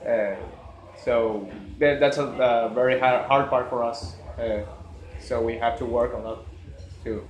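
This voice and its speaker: A man's voice: medium pitch, steady tone, measured pace. Man, early 20s, from northern Mexico, Mexican Spanish accent. Polite, hesitant delivery in an echoey room. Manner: hesitant, polite, frequent uh fillers. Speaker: youngest band member. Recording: echoey room with crowd noise.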